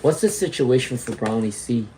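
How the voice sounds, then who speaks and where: A man's voice: mid-range voice, lively intonation, moderate pace, noisy background. A man giving live tarot readings, reading out viewer comments and often checking in with "all right?" and "okay?". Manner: reads out viewer comments and greets chatters. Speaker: tarot reader.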